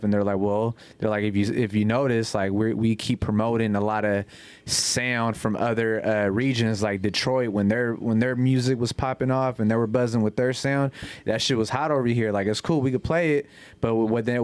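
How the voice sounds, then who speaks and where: Smooth, low-voiced man explaining casually. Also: no room sound. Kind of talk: casual podcast chat. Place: small furnished lounge studio indoors. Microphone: close podcast microphone.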